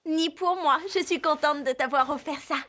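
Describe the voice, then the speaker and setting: A woman voicing a sweet, affectionate teenage girl rider in a French cartoon dub, with a gushing, expressive way of talking. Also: high voice, moderate pace. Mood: touched. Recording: music behind the voice.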